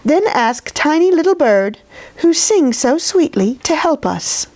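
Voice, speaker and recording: A woman voicing the king's old mother, giving calm, firm instructions. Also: sits high, unhurried, voice pushed up. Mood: instructing.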